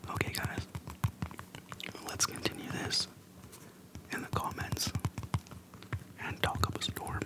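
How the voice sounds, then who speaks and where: An ASMR host speaking in a soft, breathy unvoiced whisper, slow and hushed with pauses between short phrases, close to the microphone. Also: poor audio. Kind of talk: whispered ASMR, relaxed personal chat. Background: tapping on a plastic case.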